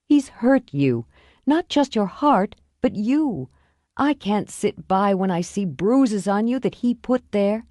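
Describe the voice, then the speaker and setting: A woman's voice: mid-range voice, expressive, slow, a little mellow, dry recording, clean studio sound, voice pushed up. A woman voicing a protective older sister who speaks firmly, with an angry, urgent edge.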